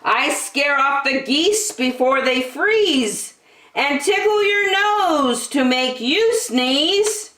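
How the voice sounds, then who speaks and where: High-pitched bossy wind spirit acted by a woman. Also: animated, slow, a bit bright, exaggerated voice. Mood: exasperated, boastful. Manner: scolding, insistent, singsong storybook delivery. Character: bossy female autumn wind, exasperated and boastful. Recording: roomy, echoing sound.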